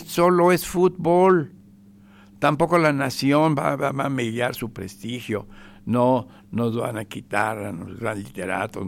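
A man's voice: lowish voice, gravelly. A man with a Mexican accent giving sarcastic radio commentary, emphatic and repetitive, sometimes dropping to a whisper.

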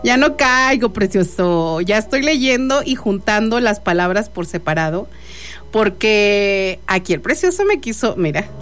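A woman's voice: medium pitch, lively intonation, measured pace, music in the background. A woman hosting live radio, chatting casually and wandering off into asides. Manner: chatty, digressive. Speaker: radio host.

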